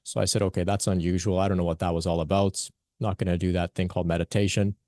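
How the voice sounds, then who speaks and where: Man with a low voice, earnest spiritual teacher, halting with fillers, dry close sound. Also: flat delivery, warm tone, no room sound. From born in Pakistan, raised in Canada. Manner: earnest, many fillers and restarts. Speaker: spiritual teacher presenting at a conference. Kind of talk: conference talk, personal story.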